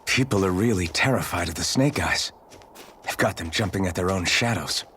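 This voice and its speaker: An earnest young swordsman from a video game. His delivery is steady in temper and lively in melody. Faint ambient noise sits behind him. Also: low-pitched, moderate pace. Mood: earnest, resolute.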